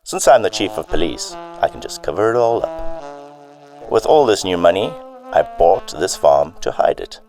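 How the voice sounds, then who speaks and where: A man playing a corrupt, scheming police chief who delivers deliberate villain monologues in an amateur radio drama. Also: lowish voice, sing-song, darkish tone, soft background music. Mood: scheming, boastful.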